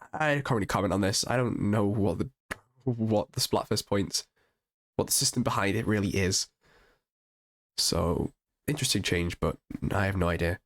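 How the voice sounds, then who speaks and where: A man with a croaky voice from being a little sick, casually reviewing game patch notes with upbeat enthusiasm and frequent restarts. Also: medium pitch. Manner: chatty and enthusiastic, with ums and restarts. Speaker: gaming channel host.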